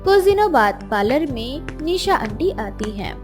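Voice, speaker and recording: A woman's voice: sits high, very animated, measured pace, soft background music. A woman storyteller reading scripted narration of a moral tale.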